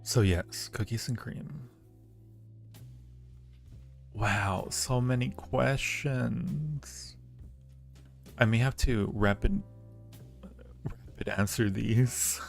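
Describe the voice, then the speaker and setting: A man's voice: medium pitch, very slow, gravelly, pauses often, faint music behind. A man hosting a live-stream Q&A, answering viewer questions casually with a playful, teasing and flirty manner. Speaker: audio roleplay creator hosting a live Q&A.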